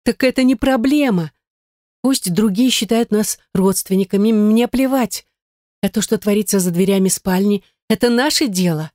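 A woman's voice: medium pitch, moderate pace, dark tone, dry recording, studio quality, pitched above the performer's own voice, lightly acted. A woman narrator voicing an obsessive, jealous woman in love, emotional and insistent in an audiobook drama. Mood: defiant, eager.

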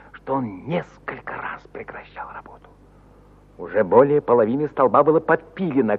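A man narrating a literary audio play in an expressive, theatrical 1950 Soviet radio style, moving between loud exclamation and hushed suspense, on an old recording. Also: mid-range voice, breathy edge, narrow, tinny audio.

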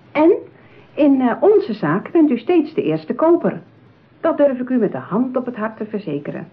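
A businesslike saleswoman in a radio play, formal and coaxing. Her voice is fairly high and swings expressively. The recording is narrow and tinny, like a telephone line. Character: persuasive, businesslike saleswoman at a marriage bureau.

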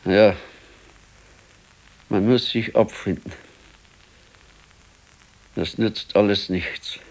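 A man's voice: fairly low pitch, steady tone, extremely slow, exaggerated voice, rough recording. A man playing an ailing, stubborn, brooding old husband in a radio play, laconic and weary, with a southern German, Bavarian accent. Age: older. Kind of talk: naturalistic radio play acting.

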